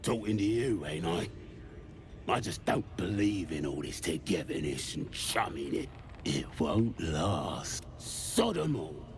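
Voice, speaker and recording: A man's voice: sits low, very animated, slow pace, slight background noise, heavily acted. A man voicing a surly, scornful goblin zealot in a video game, with a British accent and coarse, sneering grumbles. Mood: bitter, grumbling. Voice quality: gravelly. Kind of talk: video game character acting. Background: music.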